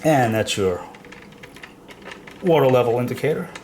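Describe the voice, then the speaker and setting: A man's voice: medium pitch, expressive, normal speed, darkish tone, a little hiss or hum. A man with a cold, sounding nasal and stuffed up, explaining a product step by step in a casual home demo. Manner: plain step-by-step explaining with fillers. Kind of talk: casual how-to demonstration. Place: reverberant room at home.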